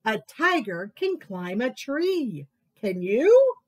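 A bossy storybook crocodile, as a woman puts him on, lecturing loudly and emphatically. The voice sits high, leaps about in pitch and takes its time. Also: very animated, slow pace, no room sound, full character voice. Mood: scolding. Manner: scolding, emphatic. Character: bossy know-it-all crocodile. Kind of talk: picture-book read-aloud voice.

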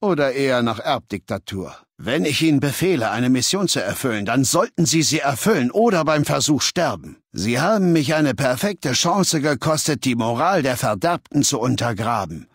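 An actor playing an imperious, menacing warlord ruler in a video game, speaking in a commanding, condescending, deliberate manner. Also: male voice, mid-range voice, sing-song, slightly rough, no echo, clean studio sound. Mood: stern, cold. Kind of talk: video game dialogue in German dub.

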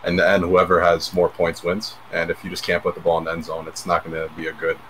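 A man's voice: deep voice, monotone, fast talker, fairly bright tone, a little hiss or hum, poor audio. A man giving analysis on an online game broadcast, explaining his reasoning at length. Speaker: analyst commentator. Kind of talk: sports analysis.

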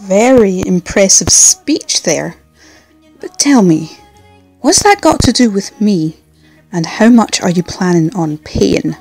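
A woman plays a female rogue for hire in an audio drama, wry and businesslike. Her pitch swings freely and she takes her time, with light music behind. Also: expressive, slow pace, darkish tone, husky, exaggerated voice, low-quality recording. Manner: dry, businesslike sarcasm. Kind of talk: fan audio drama acting.

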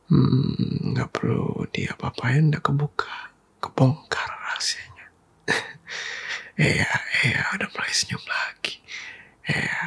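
A man whispering softly and intimately in a comforting boyfriend ASMR roleplay, coaxing and teasing with quiet laughs and stray English words. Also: a little high, vocal fry, slightly rough. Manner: mixes English words into casual talk.

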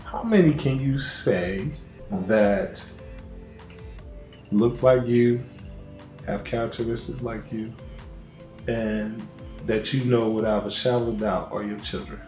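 A man interviewing an older man for a documentary, asking measured questions politely. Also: mid-range voice, darkish tone, soft background music. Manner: measured questioning, calls the guest sir. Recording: roomy room sound.

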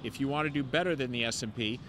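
A man in his fifties gives a street interview about investing, talking into a handheld mic. He sounds fluent and sure of himself, with frequent "um"s, and his voice sits in a middle register. Traffic noise from the city street comes through clearly. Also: mid-range voice, fast. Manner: fluent and confident, many "um" fillers. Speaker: investment newsletter founder, interview guest. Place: city sidewalk outdoors, traffic passing.

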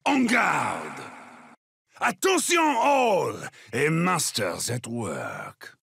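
An actor playing a proud, bombastic video game champion, boasting in theatrical battle cries. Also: male voice, on the high side, wildly swooping pitch, very slow, vocal fry, fairly bright tone, shouting, long reverb tail, exaggerated voice. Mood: haughty. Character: proud, bombastic warrior champions announcing their attacks.

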